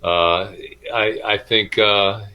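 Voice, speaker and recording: A middle-aged man, a schoolteacher, answering interview questions informally in a halting, hesitant way with many pauses. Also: fairly low pitch, steady tone, muffled recording. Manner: halting, hesitant delivery with frequent pauses and repeats. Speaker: middle school math and science teacher.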